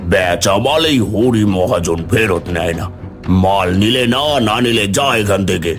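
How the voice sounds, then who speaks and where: A man's voice: low-pitched, measured pace, soft background music. A man voicing a commanding village boss in a cartoon, speaking. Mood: stern, dismissive. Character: village headman, and a greedy gruff male wholesale merchant. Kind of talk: Bengali cartoon voice acting.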